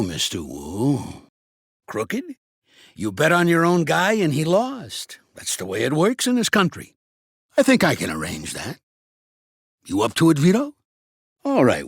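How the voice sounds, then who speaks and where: An actor voicing a shrewd, gruff old American mobster for a video game, streetwise and blunt, with a gravelly, husky voice. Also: male voice, mid-range voice, no room sound, studio quality, heavily acted. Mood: blunt, scornful. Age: older. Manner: streetwise, commanding, blunt, slangy. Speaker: mobster mentor and boxing coach. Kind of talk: video game character acting.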